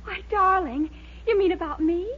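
A woman's voice: very high-pitched, moderate pace, highs cut off. A woman playing a sweet, admiring young girlfriend in a 1940s radio comedy, gushing and encouraging. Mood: sweet, curious. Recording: old radio recording, loud audience, hiss.

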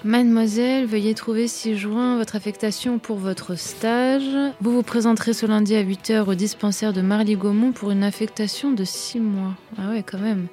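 An actress playing a young, casual, sardonic anarchist medical intern from Paris in a radio comedy. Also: female voice, mid-range voice, fairly even intonation, normal speed, dark tone, faint music behind, lightly acted. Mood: musing. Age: young adult.

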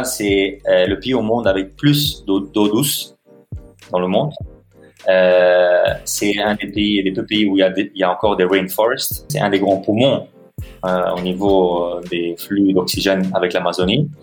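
An Italian man in his thirties, a non-native French speaker, answering interview questions in a halting way with many fillers and restarts. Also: low-pitched, fairly even intonation, faint music behind. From Italy. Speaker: podcast guest, social entrepreneur. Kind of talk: hesitant conversational interview answers.

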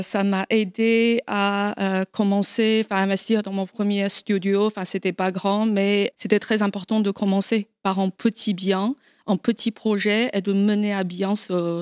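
A woman's voice: mid-range voice, clear, resonant tone, dry recording, studio quality. A woman who grew up in China, speaking plainly and steadily on a live panel with frequent fillers.

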